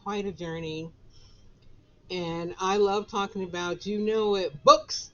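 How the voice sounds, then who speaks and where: A woman in her sixties with a Southern US accent, raspy after a flu, chatting casually to camera from her home office. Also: mid-range voice, steady tone, highs cut off. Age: 60s. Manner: chatty, drawn-out, laughs between phrases. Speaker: author and vlogger.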